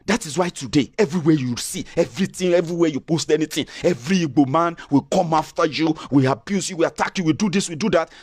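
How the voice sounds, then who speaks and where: A man's voice: fairly high pitch, fast talker, hardly any pauses, slightly rough, no room sound. A Nigerian man with a Yoruba accent giving passionate opinion commentary, repeating and restarting phrases as he argues.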